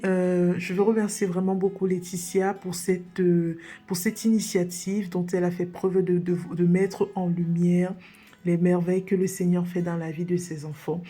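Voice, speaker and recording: A woman's voice: fairly low pitch, mellow, breathy edge. A woman from Cameroon sharing a personal faith testimony as a podcast guest, earnest and hesitant, with frequent fillers and restarts.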